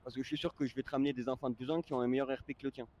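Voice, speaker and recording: A man's voice: medium pitch, little pitch movement, very fast, darkish tone, dry recording, highs cut off. A man moderating an online roleplay game over voice chat, speaking casually in a firm, admonishing, explanatory way.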